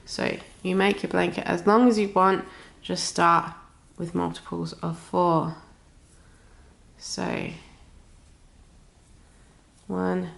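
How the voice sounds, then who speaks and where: Snuffly, creaky-voiced woman teaching crochet. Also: lowish voice, level tone, takes it slowly, pauses often. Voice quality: snuffly, slightly stuffed-up nose. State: has a cold. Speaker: crochet tutorial host. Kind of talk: casual step-by-step craft tutorial.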